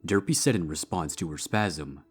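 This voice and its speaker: A man's voice: low-pitched, moderate pace, breathy edge. A man giving a scripted narration of a story as its narrator. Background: background music.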